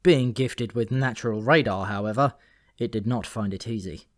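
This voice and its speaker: A man's voice: mid-range voice, moderate pace, no room sound. Male audiobook narrator, very dry recording. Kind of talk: scripted narration of comic fantasy novel.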